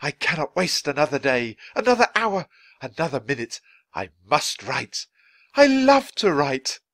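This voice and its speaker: A man voicing an excitable, fervent male writer in a storybook, exclaiming and stammering when overwhelmed. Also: medium pitch, moderate pace, no room sound, voice pushed up, heavily acted. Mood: excited, fervent.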